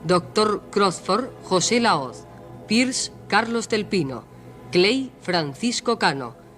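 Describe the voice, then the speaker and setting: A man announces radio credits in a distinctly high voice. He takes the scripted list slowly, with faint music behind him. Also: expressive, bright, forceful tone.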